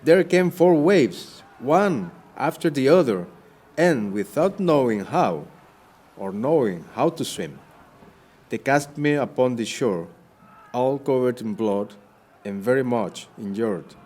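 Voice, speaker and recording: A man's voice: mid-range voice, expressive, slow, darkish tone. A man playing a proud, courtly Spanish sea captain, earnest and formal in a declamatory audio-play style. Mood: anguished.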